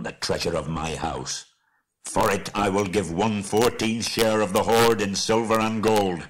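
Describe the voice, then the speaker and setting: A man's voice: deep voice, breathy edge. A man voicing a proud, wrathful dwarf king in an audiobook story, with an imperious, bitter way of speaking.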